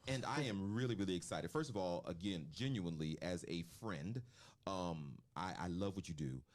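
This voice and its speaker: A man hosting a casual radio interview in a studio, talking loudly and effusively, close on the mic. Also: low-pitched, normal speed, slight background noise. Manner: loud, effusive, animated. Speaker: radio host.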